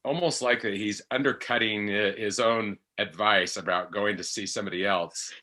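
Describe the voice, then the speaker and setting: A man's voice: on the low side, steady tone, normal speed, pushed and bright. A man on a podcast panel talking casually, hesitating as he works through his thoughts. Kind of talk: casual discussion.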